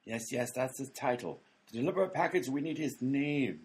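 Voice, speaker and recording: A performer acts out a cranky postal clerk in a radio comedy, with a husky voice that swings widely in pitch. The delivery is flippant, sarcastic and theatrical, with impatient jabs. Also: lively intonation, a little fast.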